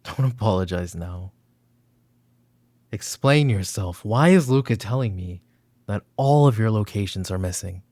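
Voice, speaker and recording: A man playing a stern, controlling middle-aged mafia boss and protective father in an ASMR roleplay, with commanding, deliberate, menacing delivery. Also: lowish voice, animated, darkish tone, no room sound. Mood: stern, angry.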